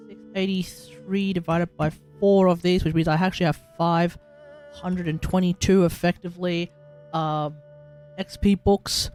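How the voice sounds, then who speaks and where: The man on a late-night game stream, talking himself through his to-do list in a high voice over faint game music. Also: a little mellow. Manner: rambling, thinking aloud. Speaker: livestream host. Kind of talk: casual gaming livestream chat.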